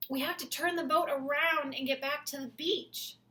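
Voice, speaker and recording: A woman voicing excited young children in a storybook tale, speaking high and eager. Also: normal speed, distant mic. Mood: urgent.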